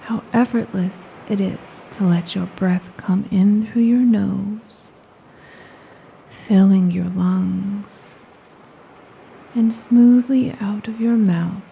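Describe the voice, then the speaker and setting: A woman guiding a hypnosis meditation in a soft, breathy, soothing voice, often near a whisper, with unhurried phrases and long pauses. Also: medium pitch, fairly even intonation, low-quality recording. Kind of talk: slow guided meditation, hypnosis narration. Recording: faint ambient noise of ocean waves. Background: ocean waves.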